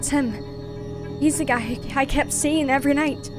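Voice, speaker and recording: Woman acting high-voiced, distressed young student, loud music. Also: fairly even intonation, unhurried. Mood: shocked. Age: young adult. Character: distressed young female college student, defiant but frightened. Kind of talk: amateur audio drama acting.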